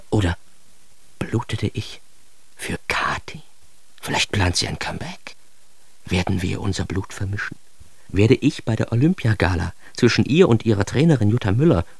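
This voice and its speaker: Deep, creaky, wryly ironic narrator. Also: male voice, no room sound, exaggerated voice. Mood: grandiose reverie. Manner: ironic, theatrical, shifting into hushed asides. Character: self-aggrandizing, wry East Berlin man recounting his absurd past. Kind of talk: dramatic first-person monologue in radio drama.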